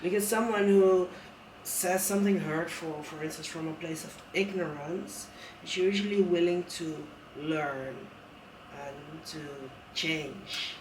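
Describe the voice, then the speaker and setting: A man's voice: fairly high pitch, unhurried, slight background noise. A man speaking earnestly and thoughtfully in a casual conversation, often restarting his sentences. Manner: earnest, reflective, with many restarts. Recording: roomy sound from a distant mic.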